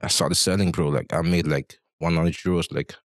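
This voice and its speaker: Deep-voiced young man chatting casually. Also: fairly even intonation, normal speed, no echo. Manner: slangy, peppers talk with "bro" and "you know". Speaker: interview guest. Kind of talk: casual chat, storytelling. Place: small barbershop, indoors. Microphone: handheld microphone.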